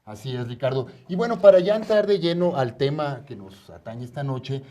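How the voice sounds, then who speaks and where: A man's voice: medium pitch, lively intonation, moderate pace, mellow. A Mexican man hosting a studio interview, presenting guests and putting questions to them in spontaneous speech. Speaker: talk show host interviewing guests.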